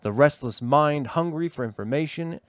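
A man reading a personal essay aloud as a co-host, in an expressive read. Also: a little high, normal speed, no echo, clean studio sound.

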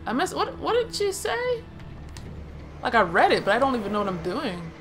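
A woman's voice: on the high side, wildly swooping pitch, normal speed, music in the background. A woman casually commenting on her own gameplay, chatty and laughing, swearing, and talking to herself. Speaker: let's play gamer.

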